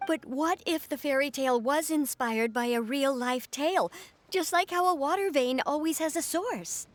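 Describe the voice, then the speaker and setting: A woman's voice: sits high, moderate pace, faint music behind, lightly acted. A woman playing a dramatic, superstitious fishing official who talks like an earnest, theatrical storyteller in a video game. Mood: earnest, insistent.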